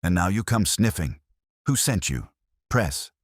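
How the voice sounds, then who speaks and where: A harried theater stage manager in his forties acted by a man, bitter and suspicious. His voice is very low with lively swings, and he talks in curt, sour bursts in a detective audiobook. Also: measured pace, dry recording, studio quality, heavily acted. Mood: bitter, nervous.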